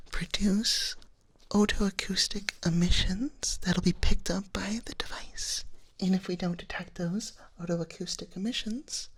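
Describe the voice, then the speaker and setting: A woman playing a gentle, reassuring dragon nurse in an ASMR roleplay, speaking in a soft breathy whisper with a soothing clinical manner. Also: on the low side. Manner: slow, soothing, reassuring clinical bedside talk. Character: gentle reassuring female dragon nurse giving hearing exam.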